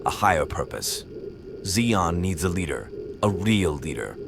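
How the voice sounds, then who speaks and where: A man's voice: low-pitched, big pitch swings, creaky, noisy background, full character voice. A man playing a cold rebel commander. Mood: resolute. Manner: measured, commanding, grave. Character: ruthless male rebel commander, cold, driven. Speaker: rebel leader. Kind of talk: fan audio drama acting.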